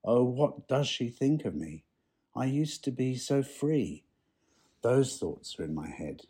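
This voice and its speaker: A man with a husky voice giving a poetry reading. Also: mid-range voice, very animated, slow, warm tone. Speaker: poem reader.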